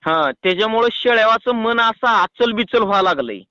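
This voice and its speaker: A man's voice: high voice, lively intonation, fairly quick, noisy background. A man with a rural Maharashtrian Marathi accent, talking colloquially and argumentatively as a farmer calling a doctor on the phone. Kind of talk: casual phone conversation. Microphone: phone call. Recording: muffled lo-fi phone call recording.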